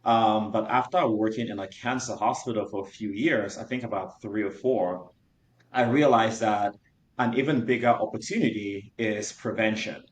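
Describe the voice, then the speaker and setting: Earnest founder in an echoey room. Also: male voice, moderate pace. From Cameroon. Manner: earnest, detailed storytelling with fillers. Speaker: startup founder. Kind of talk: interview answers. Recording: roomy echo, faint rumble.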